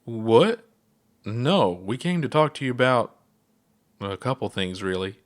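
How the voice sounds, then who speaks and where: A man's voice: medium pitch, sing-song, a little slow. A man reading aloud, voicing an earnest, worried young alien astronaut who presses with eager questions in a raised voice. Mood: urgent.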